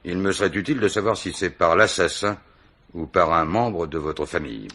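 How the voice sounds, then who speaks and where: An actor playing a genial, persistent, plain-spoken police commissaire in a French radio mystery drama, with polite, hesitant probing. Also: male voice, deep voice, a little fast, bright, forceful tone. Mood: measured probing.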